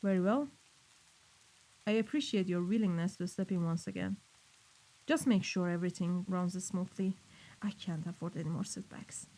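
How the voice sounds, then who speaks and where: A woman's voice: fairly low pitch, moderate pace, pauses often, dark tone. A woman playing an exacting, impatient mafia boss in an audio roleplay, giving clipped, authoritative orders. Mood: cold, commanding. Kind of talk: scripted audio roleplay acting.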